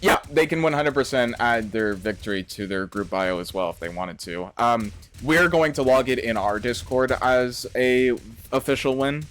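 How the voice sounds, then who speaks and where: A man's voice: medium pitch, animated, moderate pace, a bit bright, a little hiss or hum. A man in his twenties chatting casually on a live stream, reading out chat questions and answering in slangy, profane banter. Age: 20s. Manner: slangy, reads chat aloud, swears and laughs often. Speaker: game developer streamer.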